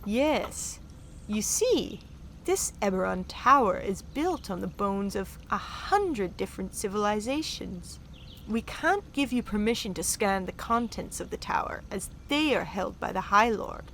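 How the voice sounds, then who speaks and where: An actress playing a gracious, self-assured dignitary and scholarly guide in a sci-fi audio drama, with formal, courtly phrasing. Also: female voice, medium pitch, animated, unhurried, creaky, a bit bright. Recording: faint background noise.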